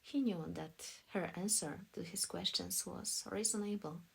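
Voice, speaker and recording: A woman from Poland reading scripted audiobook narration as a voice actress, in a soft, deliberate storytelling manner. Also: on the low side, creaky, breathy edge.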